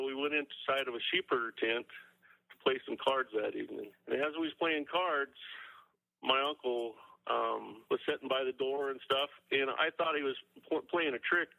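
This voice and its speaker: A man telling an eyewitness account as a guest, in a plain-spoken, halting way. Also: mid-range voice, creaky, phone-quality sound. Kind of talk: spontaneous storytelling.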